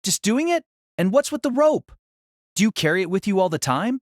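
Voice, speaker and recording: Woman as teenage schoolboy, polite, puzzled, hesitant, animated intonation, dry anime audio drama sound. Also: mid-range voice, brisk, no echo. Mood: exasperated. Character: polite, bewildered teenage boy student with a hidden past.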